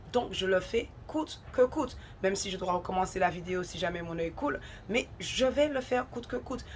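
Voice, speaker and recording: A woman's voice: mid-range voice, slight background noise. Woman with a head cold, exhorting. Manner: earnest, exhortative, repeats for emphasis. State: has a head cold, runny nose. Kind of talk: devotional encouragement talk.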